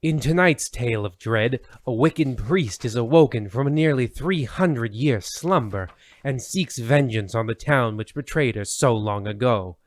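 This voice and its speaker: A man's voice: mid-range voice, lively intonation, normal speed, few pauses, no room sound, close to an everyday voice. Hammy horror radio host, dry sound played by a man. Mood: ominous. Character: hammy, ominous horror anthology host, comically flustered. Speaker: host and narrator. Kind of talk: old-time radio horror introduction parody.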